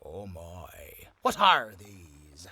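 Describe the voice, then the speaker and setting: A man playing a gruff, theatrical gentleman pirate captain with a gravelly, raspy voice in an audio roleplay. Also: low-pitched, heavy background noise, heavily acted. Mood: moved, hushed. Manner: theatrical pirate talk, slow and expressive.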